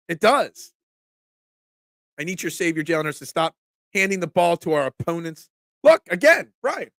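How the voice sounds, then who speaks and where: A man, congested from a cold, hosting a casual sports talk stream, excitable and opinionated, often restarting his sentences. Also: sits high, no echo, studio quality. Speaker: sports talk show host. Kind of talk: casual live-stream sports talk, reading chat comments.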